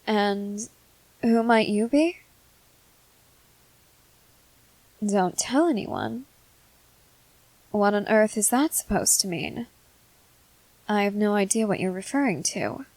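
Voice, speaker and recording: A woman playing a composed, inquisitive young female soldier in an ASMR roleplay, speaking formally in measured, deliberate phrases. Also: mid-range voice, animated, a little mellow, no room sound, rough recording. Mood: cool, probing. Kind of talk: scripted ASMR roleplay, acted to the listener.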